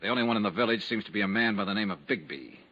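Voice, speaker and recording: An actor playing a tough, wry American insurance investigator who narrates and stars in an old-time radio detective drama. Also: male voice, on the low side, expressive, rapid-fire, bright, forceful tone, a little hiss or hum, rough recording. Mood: probing, puzzled. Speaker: lead character and narrator. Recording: vintage radio drama recording, faint music.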